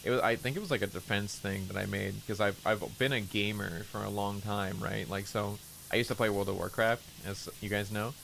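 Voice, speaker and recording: A young man streaming who chats casually about himself, hesitant and rambling with many fillers, deliberately lowering his voice. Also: steady tone, gravelly, fairly bright tone, a little hiss or hum, poor audio. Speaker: VTuber streamer. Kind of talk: casual stream chat while gaming.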